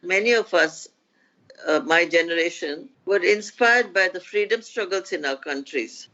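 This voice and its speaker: Older woman, reflective, pausing, muffled lo-fi sound. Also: on the low side, pushed and bright. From India. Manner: measured, with hesitant pauses. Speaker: guest economist. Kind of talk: reflective interview answer.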